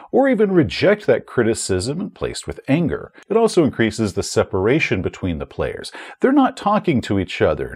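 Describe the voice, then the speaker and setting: Man in his 40s, husky voice, very lively intonation, emphatic explainer, video host. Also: mid-range voice. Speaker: host of a tabletop gaming advice channel. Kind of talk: direct-to-camera advice commentary. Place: small furnished room at home, indoors. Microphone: lapel mic.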